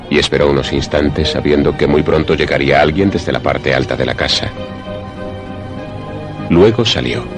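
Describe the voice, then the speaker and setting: Super deep guy narrating a radio thriller, measured storytelling, music going behind him. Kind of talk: scripted suspense radio drama narration.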